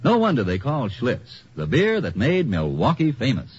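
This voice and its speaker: A man's voice: medium pitch, huge pitch swings, moderate pace. An actor playing a contented vacationer, telling his story with leisurely drama as a scripted 1950s radio commercial. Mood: contented, musing. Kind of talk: 1950s radio ad, scripted first-person narration. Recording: muffled, noisy old 1950 radio recording.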